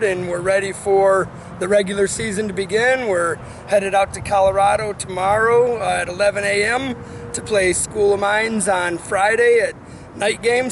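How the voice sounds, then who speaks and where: A man who coaches college soccer, giving a casual interview answer over some ambient noise. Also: high-pitched.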